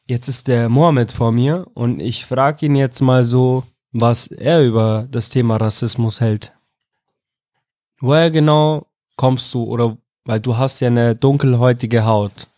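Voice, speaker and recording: A man's voice: mid-range voice, steady tone, measured pace, a little mellow, dry recording, clean studio sound. A man from Iraq interviewing young people in a casual way, often restating his questions in other words. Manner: informal, rephrases his questions several ways.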